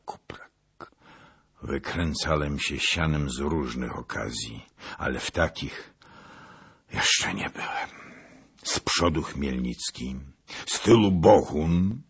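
A man's voice: a bass voice, slightly rough, full character voice. A man voicing a blustering older nobleman in a historical storybook, exclaiming and grumbling in colourful language.

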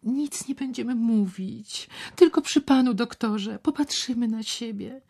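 A woman's voice: a little high, a little slow, vocal fry, mellow, breathy edge, voice pushed up, full character voice. A woman voicing a timid young woman in love who pleads in a whisper. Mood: pleading.

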